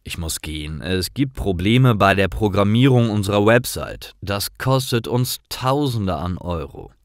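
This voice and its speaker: A man's voice: fairly low pitch, lively intonation, unhurried, no room sound. Man narrator as storybook company boss, male, stressed but polite, emphatic, swinging intonation, dry sound. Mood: apologetic, worried.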